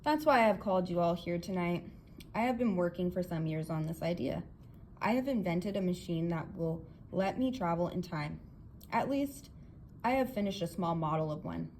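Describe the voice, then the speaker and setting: A woman's voice: on the low side, animated, moderate pace, a little mellow. Emphatic, confident male inventor, read by a woman. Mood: excited, triumphant. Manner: emphatic, explanatory, theatrical. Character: earnest, confident male inventor lecturing his guests. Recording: clear background noise.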